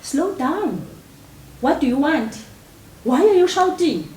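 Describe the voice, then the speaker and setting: A woman acting a concerned, pitying character trying to calm someone in stage drama dialogue. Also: sits high, slow, heavily acted. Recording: distant echoey room, faint noise.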